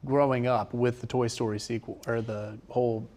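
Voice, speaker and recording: Casting: young American male co-host, medium pitch, easygoing, unscripted conversational delivery. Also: little pitch movement, moderate pace, darkish tone. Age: young adult. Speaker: local TV station chat co-host. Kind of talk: casual unscripted chat.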